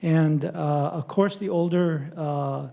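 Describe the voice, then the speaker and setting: An older man giving a public talk and answering audience questions, speaking haltingly with many fillers and restarts. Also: a little high, vocal fry, dark tone. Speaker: lecturer on Native studies.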